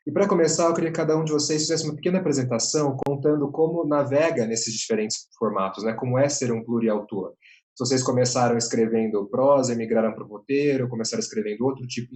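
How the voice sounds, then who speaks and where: A man's voice: mid-range voice, darkish tone. A man moderating an online panel as a journalist, in a conversational style.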